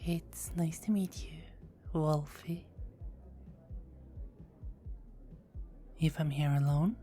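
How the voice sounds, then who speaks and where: A woman playing a low, whispering teasing vampire. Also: a little slow, soft background music. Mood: wistful. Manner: soft-spoken, often whispering, playful teasing. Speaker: performer of own script. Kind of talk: ASMR roleplay.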